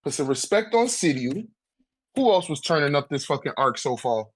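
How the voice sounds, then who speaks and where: High-voiced man in animated casual debate. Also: normal speed. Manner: animated, slangy, heavy swearing. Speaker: guest debating a manga tier list. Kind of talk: casual chat.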